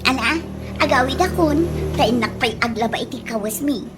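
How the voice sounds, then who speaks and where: An actress plays a lazy, bossy young woman in an Ilocano radio comedy. Her voice sits high, cheeky and whiny, with an Ilocano accent, over a clear music bed. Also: normal speed, close to an everyday voice. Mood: eager. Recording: music bed under radio drama dialogue.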